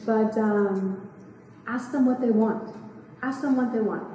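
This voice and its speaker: Lady teaching a seminar, soft mellow voice, recorded from across a big echoey room. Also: fairly high pitch, recorded from a distance. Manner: quick, chatty, asks the room questions. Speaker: real estate investing speaker. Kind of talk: live seminar talk to a small audience. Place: meeting room, indoors. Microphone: far camera.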